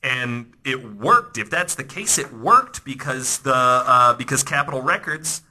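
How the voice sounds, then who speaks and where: A man hosting a podcast, telling stories in a casual, animated, conversational way that often restarts mid-thought. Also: medium pitch, a little slow, background noise. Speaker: podcast host. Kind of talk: casual storytelling podcast talk.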